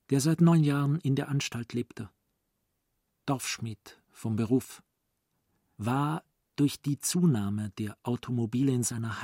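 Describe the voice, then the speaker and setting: A man narrating a literary radio play gravely, with deliberate dramatic emphasis. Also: medium pitch, mellow, no room sound, studio quality.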